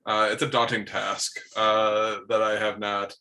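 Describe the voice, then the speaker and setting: Bright, tense-voiced man, hesitant. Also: fairly low pitch, monotone, unhurried. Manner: hesitant, full of fillers. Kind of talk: casual chat among friends.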